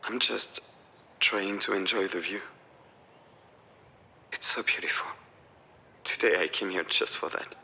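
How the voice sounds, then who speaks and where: A man's voice: on the low side, unhurried, vocal fry, pauses often, bright, forceful tone, heavily acted. An actor playing a grieving, self-blaming father in a narrative video game, weary and wounded in his delivery. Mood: wistful. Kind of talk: acted visual novel dialogue.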